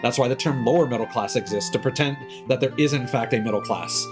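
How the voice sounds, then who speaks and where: Male game streamer, medium pitch, rambling sardonic opinions, casual delivery over clear background music. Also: quick pace. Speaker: game livestreamer.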